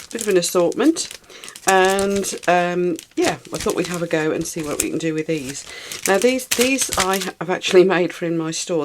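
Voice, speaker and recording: A British craft tutor talks through a resin project in a relaxed, rambling way and fondly admires each pigment. The voice is a bit stuffed up and snuffly. It carries a gravelly fry. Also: medium pitch, a little hiss or hum. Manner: chatty and rambling, thinks aloud, coos over materials. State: slightly snuffly, recovering from a cold. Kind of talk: casual hands-on craft tutorial.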